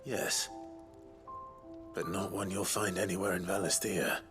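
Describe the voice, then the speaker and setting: An actor playing a calm, considerate adventurer hero in a video game, with an understated, measured delivery. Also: male voice, sits low, over music. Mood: assured. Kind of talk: video game cutscene acting.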